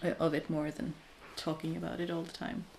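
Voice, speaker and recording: A woman's voice: low-pitched, flat delivery, fairly quick, creaky, a little mellow, slight background noise, poor audio. A woman in her thirties chatting casually and candidly with her viewers, thoughtful and self-deprecating, often restarting her sentences. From Norway. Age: 30s. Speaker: crafting streamer chatting with viewers. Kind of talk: casual live chat.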